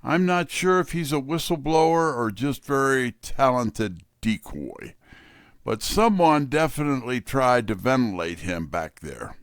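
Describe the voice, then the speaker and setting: A man's voice: medium pitch, lively intonation, measured pace, no room sound, studio quality. A man giving a scripted, wry first-person hardboiled detective narration.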